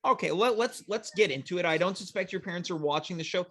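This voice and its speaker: A man's voice: sits high, fast talker, fairly bright tone. A man hosting a live talk show, bantering casually with a guest in a teasing, mock-serious way.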